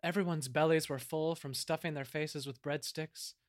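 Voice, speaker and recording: A man's voice: a little high, steady tone, normal speed, no echo, clean studio sound. A man reading scripted narration for an audio drama in a dramatic storytelling style.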